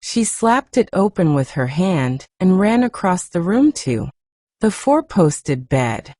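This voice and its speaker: A woman softly reading a classic story aloud as an audiobook narrator on a close microphone. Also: on the low side, very animated, slow, no echo. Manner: soft, gentle reading. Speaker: audiobook reader.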